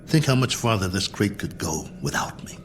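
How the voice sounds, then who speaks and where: An actor playing a lonely, bored astronaut colonel in a radio drama, speaking in a wry, musing, self-mocking manner. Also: male voice, sits low, expressive, normal speed, soft background music. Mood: wry, wistful. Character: lonely, bored male astronaut colonel, lone probe pilot. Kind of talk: radio drama acting, spoken log entries.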